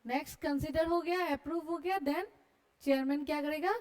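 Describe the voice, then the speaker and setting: A woman's voice: high voice, fairly even intonation, normal speed, a little mellow. An Indian woman teaching an online class, dictating notes in mixed Hindi and English and often checking that students follow.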